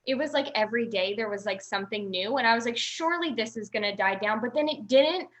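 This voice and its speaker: A woman's voice: fairly high pitch, animated, quick pace, a bit bright. A woman in her twenties chatting casually as a guest, rambling and restarting her sentences. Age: 20s. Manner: rambling, talkative, many restarts and "like". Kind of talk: casual chat.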